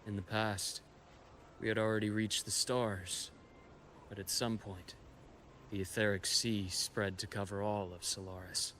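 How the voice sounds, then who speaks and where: An actor playing a composed, knowledgeable expedition guide and scholar in a video game, telling history in a measured, reflective way. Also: male voice, on the low side, level tone, a bit bright, slight background noise. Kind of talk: scripted video game story dialogue.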